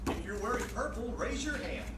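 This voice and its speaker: A woman's voice: fairly high pitch, animated, fairly quick. A woman leading a children's library storytime and reading aloud, talking in simple, encouraging, teacherly phrases. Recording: roomy, distant mic, faint noise.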